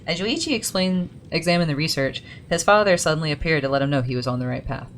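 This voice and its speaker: A woman's voice: sits low, animated, fast talker, a little hiss or hum. A woman gaming creator reading game lore aloud, breaking off for chatty asides about perks and pronunciation. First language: English.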